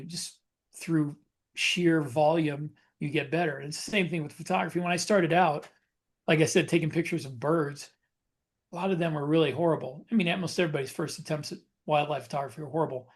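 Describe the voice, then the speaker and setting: A man co-hosting a podcast, telling personal anecdotes in a casual, rambling, halting way with self-corrections. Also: a little high. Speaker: podcast co-host.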